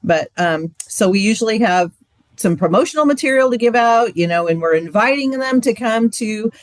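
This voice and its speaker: A woman's voice: a little high, animated, moderate pace, dry recording. Chatty woman, dry close sound. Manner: chatty, loose, frequent fillers. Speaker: ministry trainer. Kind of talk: casual training talk.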